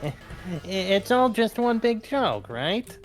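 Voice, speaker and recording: A fan dub performer voicing a good-natured, slow-witted male police detective with a folksy, hesitant manner. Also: mid-range voice, very animated, faint music behind. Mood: confused, pleading. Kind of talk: hobby fan dub of a video game.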